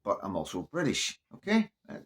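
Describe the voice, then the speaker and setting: A man's voice: fairly low pitch, huge pitch swings, brisk, fairly bright tone, breathy edge. A man from Northern Ireland with a Northern Irish accent gives casual, unscripted political commentary in an opinionated, conversational way, often checking in with the listener.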